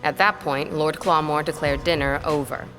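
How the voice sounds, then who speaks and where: A proper governess in a fairly low female voice, with music prominent behind her. She is polite, guarded and a little hesitant, in period audio drama style. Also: steady tone, normal speed. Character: proper, guarded young governess recounting events. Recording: loud music under the voice.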